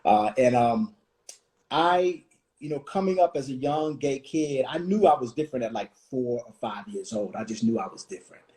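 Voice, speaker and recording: A man in his forties from Washington, D.C., hosting a reflective conversation, thoughtful and full of pauses and restarts. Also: medium pitch, animated, dark tone. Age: 40s. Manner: thoughtful, many fillers and restarts. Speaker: host.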